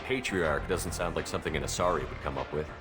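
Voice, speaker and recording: A man playing a direct, even-tempered military commander in a video game, plain and businesslike as he speaks. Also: a bass voice, quick pace, over music. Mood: businesslike, curious. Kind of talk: video game character acting.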